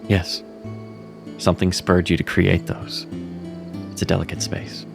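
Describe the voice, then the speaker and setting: A man's voice: deep voice, unhurried, creaky, heavily acted. A man voicing Seraphin, a wry cat mentor. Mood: steady. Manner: steady, reassuring, self-critical. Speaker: voice actor. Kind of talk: audio drama acting. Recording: music underneath.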